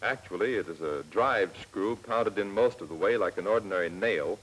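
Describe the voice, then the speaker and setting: Male American narrator, 1940s military instructional style. Slightly deep and husky, measured, plainly instructive, vintage film audio. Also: fairly bright tone, low-quality recording. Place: scripted voice-over for film. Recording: old 1940s film soundtrack, slightly rough.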